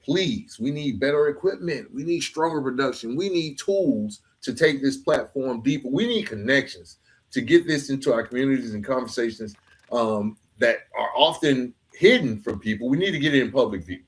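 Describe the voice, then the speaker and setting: A man hosts a casual podcast conversation in a medium-pitched voice. His talk is informal and earnest, sprinkled with "man" and "bro" as he speaks to his guest and listeners. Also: lively intonation, normal speed. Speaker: podcast host.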